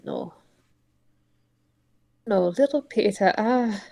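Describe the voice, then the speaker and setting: A woman voicing an old male tortoise uncle, solemn, protective and stubborn, pleading in halting, repeated words. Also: mid-range voice, creaky, darkish tone. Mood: stubborn, distressed. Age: older.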